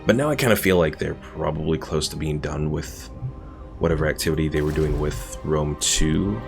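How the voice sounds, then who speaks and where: A man's voice: rumbling low, moderate pace, creaky, faint music behind. A man casually chatting with viewers while streaming a strategy game, laid-back and rambling. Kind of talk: casual live stream commentary.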